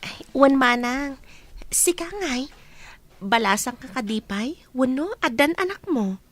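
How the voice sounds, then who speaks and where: A woman's voice: sits high, expressive, measured pace. A woman with an Ilocano accent playing a polite, curious young new housemaid in a village comedy radio drama. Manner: polite, inquisitive.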